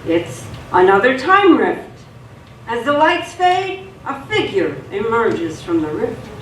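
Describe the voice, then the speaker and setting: A woman's voice: a little high, very animated, takes it slowly. A woman reading scripted narration for a live radio theatre drama. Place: stage performance in a roomy hall. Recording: echoey hall, distant mic, noisy.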